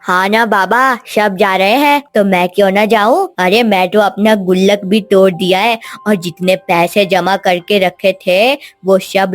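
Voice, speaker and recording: A woman voicing an excited, boastful young child in an animated story, bubbly and exclamatory. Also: mid-range voice, natural voice. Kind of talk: animated moral story dialogue.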